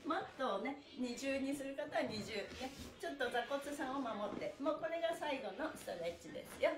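A woman's voice: sits high, animated, brisk. A middle-aged woman leading a livestreamed stretching class in a cheerful, chirpy, encouraging manner, chatting to her viewers. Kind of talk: upbeat exercise instruction, chatting to livestream viewers. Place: small indoor room with bare walls and wooden floor. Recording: echoey, roomy sound.

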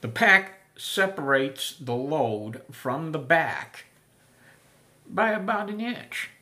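An older man talking through the history of a piece of camping gear in a folksy, unhurried way, with many filler sounds. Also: fairly high pitch, lively intonation, pushed and bright. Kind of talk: casual spoken history lesson. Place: small room indoors.

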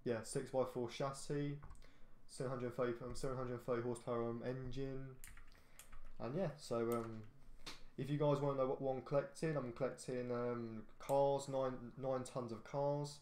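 A man's voice: medium pitch, steady tone, normal speed, darkish tone, roomy sound, slight background noise. A man gives casual, rambling game commentary from his bedroom, full of fillers and restarts. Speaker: gaming YouTuber. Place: echoey bedroom.

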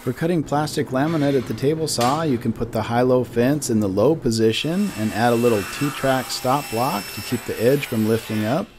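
A man's voice: mid-range voice, normal speed, few pauses, noisy background. A man in his forties giving a friendly step-by-step woodworking lesson in his wood shop. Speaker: woodworking instructor. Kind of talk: instructional shop tutorial. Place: wood shop with lumber racks, indoors.

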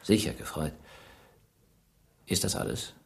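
An actor playing a shrewd, insistent police inspector who probes and presses a suspect in a radio crime drama. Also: male voice, measured pace, close to an everyday voice. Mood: probing, coaxing. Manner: probing, pointed, pressing questions.

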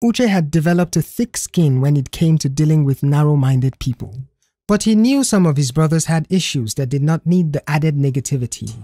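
A woman's voice: deep voice, lively intonation, normal speed, dark tone, no room sound, clean studio sound. A woman reading scripted narration for an audio story.

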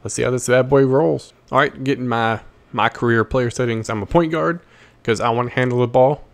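A man's voice: medium pitch, fairly quick, creaky. A man hosting a gaming video, thinking aloud in easygoing, casual commentary.

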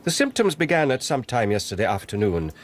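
An actor playing a stern, guarded Victorian physician in a radio drama, speaking formally and with measured dismissiveness. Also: male voice, mid-range voice, big pitch swings, a little hiss or hum, lightly acted. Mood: guarded, dismissive. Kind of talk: British radio drama acting.

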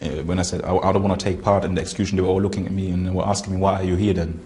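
A man, recounting events haltingly in an interview and searching for words. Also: low voice, flat delivery, dark tone, slight background noise. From Germany. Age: 20s. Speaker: interviewee, former militant. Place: indoor room with barred windows.

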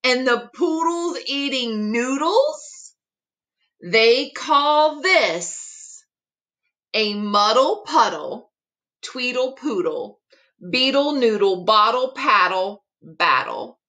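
Bright-voiced woman reading a children's rhyme book. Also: fairly high pitch, animated. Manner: deliberate, careful tongue-twister delivery. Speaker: school teacher reading aloud. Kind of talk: expressive read-aloud of a rhyming children's picture book. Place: small room at home, indoors.

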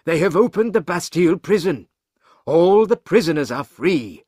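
A man voicing a banker who gravely delivers alarming news in measured, emphatic sentences, in an audiobook. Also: medium pitch, animated, a bit bright, no echo, studio quality. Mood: grave, urgent.

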